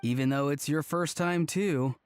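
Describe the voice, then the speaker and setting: A man as an earnest young guy, higher-pitched. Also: normal speed, clear, resonant tone, close to an everyday voice. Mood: easygoing. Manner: sincere, upbeat, casual. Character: earnest, cheerful young man, easygoing and trusting. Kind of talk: video game voice acting. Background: faint music.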